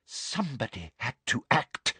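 A storyteller voicing a prim, cruel, excitable middle-aged female schoolteacher villain with breathy, self-justifying menace. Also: mid-range voice, a little slow, no room sound, voice pushed up. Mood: quiet menace.

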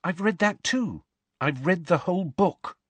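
A man's voice: sits high, expressive, a little slow, slightly rough, no echo, pitched above the performer's own voice, heavily acted. A man voicing an earnest, assertive, bookish teenage schoolgirl who speaks promptly and carryingly in a storybook. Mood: prompt, confident.

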